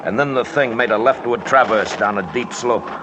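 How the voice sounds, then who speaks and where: An actor playing a stubborn, haunted mountaineer in an old radio drama, speaking gravely and deliberately in clipped phrases. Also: male voice, mid-range voice, natural voice. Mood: grim, suspenseful. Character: stubborn, haunted mountaineer narrating his expedition, tough man. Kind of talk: 1940s-50s radio drama narration. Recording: noisy old radio recording.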